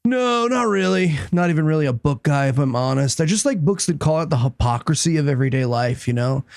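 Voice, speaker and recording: A man's voice: fairly high pitch, animated, a little fast, gravelly, a little mellow, no room sound, clean studio sound. A man playing a smug, hesitant bookstore customer who scorns phonies, in a comedy sketch. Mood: smug, disaffected.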